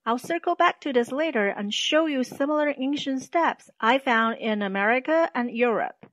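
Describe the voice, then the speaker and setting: A woman presenting scripted documentary narration in a measured, explanatory manner. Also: sits high, lively intonation, a bit bright.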